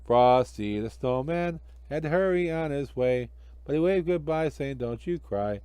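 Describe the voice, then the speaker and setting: A man's voice: fairly high pitch, unhurried, dark tone, clear, resonant tone, dry recording. A man narrating a children's story expressively as an amateur storyteller.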